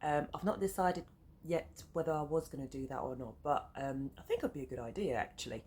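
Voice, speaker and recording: A woman's voice: deep voice, animated, brisk, a little mellow, slightly rough. A woman in her thirties, French her first language, speaking English with a French accent, chatting casually and rambling with lots of "um"s and side notes as a sewing vlogger. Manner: rambling, easily sidetracked, many fillers. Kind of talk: casual chat to camera. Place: flat beside a busy road. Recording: faint traffic noise behind.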